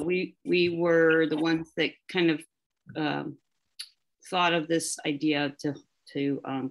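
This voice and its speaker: A woman's voice: deep voice, gravelly. A woman professor introducing herself on a video call, friendly and a little hesitant. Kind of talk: self-introduction.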